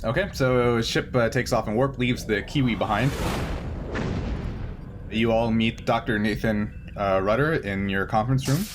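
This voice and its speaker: A man working as game master, narrating an improvised tabletop story in a halting, conversational way. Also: mid-range voice. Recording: clear steady background noise.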